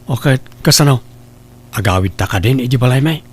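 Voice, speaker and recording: A man's voice: medium pitch, lively intonation, fairly quick, breathy edge. A man playing an eager, reassuring young bridegroom who is short of money, in a radio-style comedy drama.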